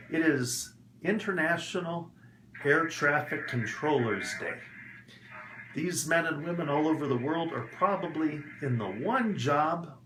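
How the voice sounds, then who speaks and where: Male instructor, unhurried delivery with careful enunciation, explanatory lesson style, roomy recording with noticeable background noise. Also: mid-range voice. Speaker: English teacher. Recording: echoey room with background noise.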